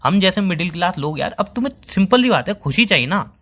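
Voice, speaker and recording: A young boyfriend, acted by a man, argues in an acted phone call. He speaks very quickly in a fairly high voice. He is exasperated and teasing, colloquial, and likes to repeat his point. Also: big pitch swings, fairly bright tone, full character voice. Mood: ranting. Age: young adult. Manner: argumentative, colloquial, repeats himself. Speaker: performer in a couple's phone-call skit. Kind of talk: acted casual phone argument.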